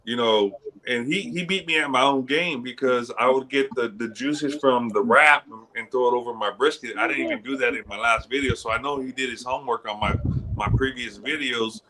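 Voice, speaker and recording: A man with a bright, forceful voice chats casually on a podcast. His speech is loose and slangy, and he restarts sentences often as he goes. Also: medium pitch, fairly quick, slight background noise, poor audio. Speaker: guest pitmaster.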